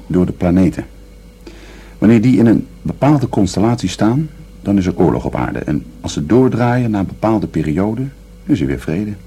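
A man's voice: low-pitched, dark tone, slightly rough, heavily acted. An actor in a Dutch radio drama playing a dreamy, philosophizing man who explains things in a musing way.

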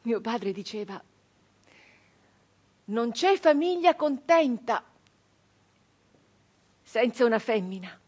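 An actress playing a wistful nineteenth-century peasant brigand woman in a halting, emotional theatrical monologue, with a husky voice. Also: on the high side, expressive, a little mellow, full character voice. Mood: reflective, proud. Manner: hesitant repetitions, halting, emotional reminiscence. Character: 19th-century Italian peasant-born brigand woman, wistful, remembering home. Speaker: stage actress. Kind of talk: theatrical dramatic monologue for radio.

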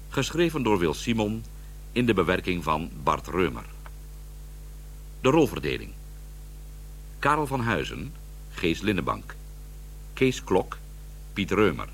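A man's voice: low voice, a bit bright, slight background noise. A man with a Dutch accent reading scripted radio drama credits as a broadcast announcer.

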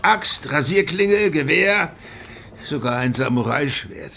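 Sharp, gravelly, rattled police chief. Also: male voice, fairly high pitch, animated, takes it slowly, a little hiss or hum, heavily acted. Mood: grim, exasperated. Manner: agitated, insistent, clipped lists. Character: tense, defensive, exasperated male provincial Soviet police chief. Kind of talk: noir radio drama dialogue.

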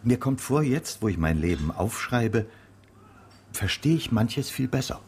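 An actor playing a courteous, observant former private detective in a radio drama, with a gravelly voice and a polite, probing manner. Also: male voice, medium pitch, sing-song, unhurried, a little mellow, slight background noise. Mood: curious, polite.

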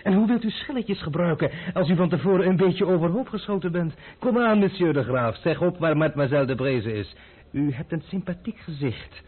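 Insolent young gentleman for radio drama; husky, animated, dry and unimpressed, muffled vintage recording. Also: a little high, brisk. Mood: dryly insolent. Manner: polite, dry, mocking and unimpressed. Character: cool, insolent, stubborn young Dutch gentleman adventurer. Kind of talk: Dutch radio drama acting. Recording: muffled, band-limited old radio recording.

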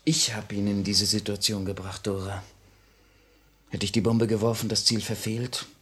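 An actor playing an earnest, guilt-stricken revolutionary comrade in a 1950 radio play, with a husky voice. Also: male voice, fairly low pitch, flat delivery, moderate pace, a bit bright. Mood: remorseful.

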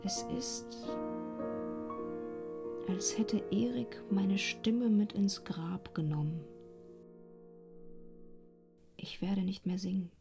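An actress playing a melancholy young opera singer in a radio drama, speaking softly and sadly. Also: female voice, mid-range voice, creaky. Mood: resigned sadness. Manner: slow, sad, soft-spoken. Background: piano music.

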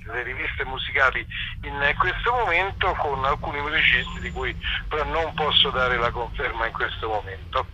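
A man speaks over a telephone with a piercingly bright voice at a middling pitch. He explains things informally, wandering and hesitating as he goes, with dry self-deprecation. A faint layer of noise sits on the line. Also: moderate pace, dull audio. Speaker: event organiser, interview guest. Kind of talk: casual phone interview, informal explaining. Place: remote phone call to a radio show.

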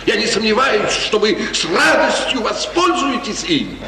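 An actor playing a smooth, scheming courtier in a florid, insinuating, falsely friendly manner, in 1950s radio theatre style. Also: male voice, sits high, sing-song, a little slow, far from the mic, over a noisy crowd. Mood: pressing, insinuating. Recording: noisy echoey 1950s radio drama recording.

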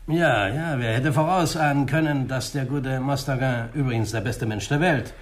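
An actor playing a chatty, genial newspaper columnist in a 1960s radio crime drama. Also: male voice, medium pitch, normal speed, lightly acted. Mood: talkative. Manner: garrulous, genial, chuckling between remarks. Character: jovial, chatty small-town newspaper columnist. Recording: faint hiss of old radio recording.

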